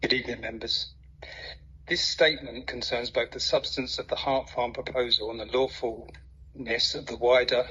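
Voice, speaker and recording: Husky, forceful Englishman reading a formal statement. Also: mid-range voice, fairly even intonation, normal speed, lo-fi sound. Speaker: objector addressing a council planning committee. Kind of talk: formal prepared statement read aloud at a public meeting.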